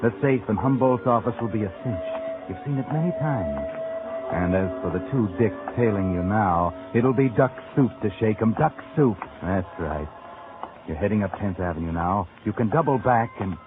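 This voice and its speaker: A man's voice: lowish voice, animated, normal speed, over music, lightly acted. A man playing a sardonic narrator in a 1940s radio crime drama, needling with insinuating questions like a criminal's conscience. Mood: sly, taunting. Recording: tinny, band-limited old radio recording.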